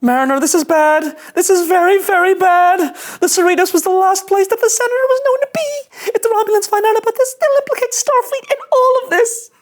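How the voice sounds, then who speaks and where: A man's voice: very high voice, fairly quick, few pauses. A man playing an anxious, earnest young starship ensign in a sci-fi comedy, nervous and overdramatic. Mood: panicked. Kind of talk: animated sci-fi comedy table read.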